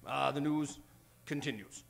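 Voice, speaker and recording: A man's voice: medium pitch, a little slow, creaky. A man playing a bumbling 1940s president, giving a hesitant, rambling parody speech. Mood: pompous, muddled.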